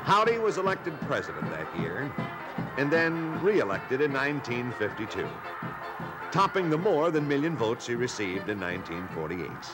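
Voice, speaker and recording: A man's voice: medium pitch, sing-song, normal speed, over loud music, lightly acted, poor audio. A man voicing a cheerful, eager boy puppet on an old children's TV show, chirpy and full of exclamations. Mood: cheery. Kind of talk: 1950s children's TV acting.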